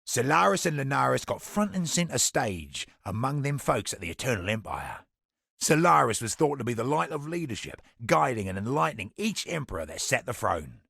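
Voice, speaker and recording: Shady fantasy game merchant, British, husky and gravelly, lively swooping pitch, wry, roguish. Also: male voice, moderate pace, no room sound, clean studio sound, exaggerated voice. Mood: knowing, musing. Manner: wry, colloquial, roguish storytelling. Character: sardonic, shady male antiquities trader in fantasy world. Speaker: game non-player character merchant. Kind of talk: theatrical video game character dialogue.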